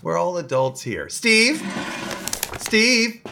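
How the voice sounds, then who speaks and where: An actor playing a flustered, evasive songwriter in an audio drama, awkward in manner. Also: male voice, sits high, big pitch swings, slow pace, gravelly, noisy background, exaggerated voice. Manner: awkward, repetitive denials.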